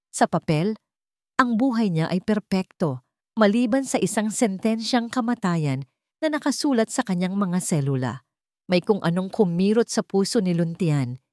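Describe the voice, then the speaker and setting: A woman's voice: medium pitch, a little mellow, dry recording, clean studio sound. A woman with a Filipino accent reading a scripted dramatic story as its narrator.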